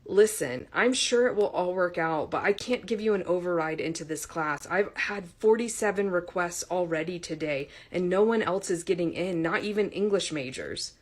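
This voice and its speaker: A woman's voice: on the low side, moderate pace, few pauses, lightly acted. A woman voicing a stern, exasperated female college professor with a firm, controlled manner in an audiobook.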